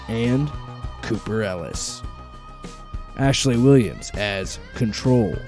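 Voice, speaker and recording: A man slowly reading out the opening credits. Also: fairly low pitch, warm tone. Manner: slow, measured. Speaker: narrator of credits.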